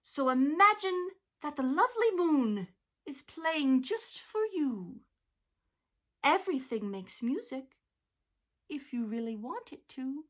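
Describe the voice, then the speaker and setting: A woman's voice: sits high, sing-song, slow, voice pushed up, full character voice. A woman voicing a kindly, wise little male cricket in a read-aloud picture book. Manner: gentle, soothing, encouraging.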